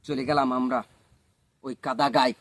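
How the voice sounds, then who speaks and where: A man's voice: medium pitch, flat delivery, normal speed, a bit bright. A man tells a comic tall tale to listeners, dramatically, repeating phrases for suspense. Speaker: storyteller telling a tall tale. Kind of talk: comic yarn-spinning to listeners.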